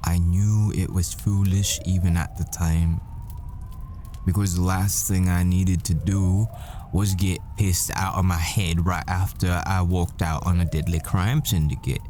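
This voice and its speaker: A man playing a world-weary, foul-mouthed assassin boyfriend in a dramatic audio roleplay, brooding and deliberate in his storytelling. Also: sits low, little pitch movement, dark tone, slight background noise. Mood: rueful, confessional.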